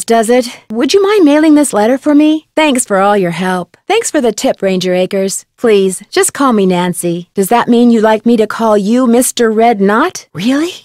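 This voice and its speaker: A woman playing a curious, polite young amateur detective in an adventure game, speaking in an earnest, clearly enunciated, inquisitive way. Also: fairly high pitch, darkish tone, no echo, studio quality, natural voice. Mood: polite, friendly. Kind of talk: scripted adventure game dialogue.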